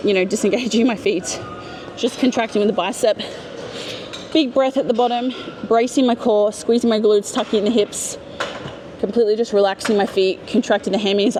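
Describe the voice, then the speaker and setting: A woman fitness coach explaining and cueing a workout as she hosts a gym vlog. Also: on the high side. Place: indoor gym.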